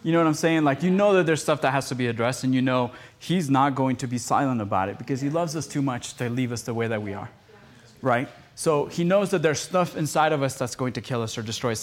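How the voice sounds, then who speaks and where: A man in his thirties, born in Costa Rica with Spanish as his first language, talking earnestly and conversationally in a podcast studio, with many fillers and restarts. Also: medium pitch. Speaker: podcast producer and guest speaker.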